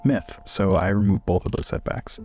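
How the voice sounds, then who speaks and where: A man's voice: low voice, normal speed, a little mellow. A man playing a tabletop roleplaying game, casually describing what his character does. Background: faint music.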